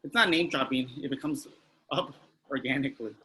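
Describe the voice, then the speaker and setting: A man's voice: medium pitch, fairly quick, sharp tone, lightly acted, poor audio. A man reading the part of a boastful, oblivious older man in a sitcom table read, with some noise behind him.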